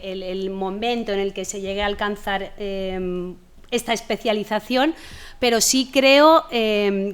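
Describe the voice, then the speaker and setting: A woman from Spain, a television journalist on a panel, speaking in a measured way with some fillers. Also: mid-range voice, clean tone. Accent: Spanish (Spain). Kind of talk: panel discussion.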